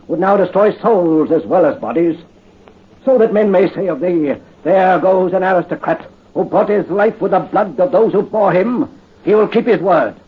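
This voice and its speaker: An actor playing a proud, solemn aristocrat father facing execution, speaking gravely and declaiming in archaic 1930s radio drama style. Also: high-pitched, full character voice. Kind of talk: 1930s radio horror acting. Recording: muffled 1938 radio broadcast.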